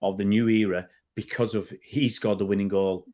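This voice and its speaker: A middle-aged man from England hosts a casual football chat in a slightly low voice. He is chatty and often repeats or restarts his words. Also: fairly even intonation, normal speed, dark tone. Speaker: fan channel host.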